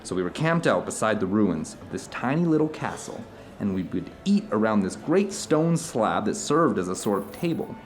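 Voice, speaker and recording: A man's voice: on the low side, a little mellow. A man playing an earnest theater director telling stories to an old friend in a conversational audio drama. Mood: enthusiastic. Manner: storytelling, earnest, discursive. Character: animated theater director recounting his travels to an old friend.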